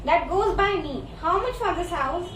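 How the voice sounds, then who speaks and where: Very high-voiced pushy buyer played by a woman. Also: lively intonation, normal speed. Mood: impatient. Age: young adult. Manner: blunt, demanding. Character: brusque, impatient American buyer, a pushy woman. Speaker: student actor. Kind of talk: stage reading of a comedy. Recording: distant mic, echoey room, loud noise.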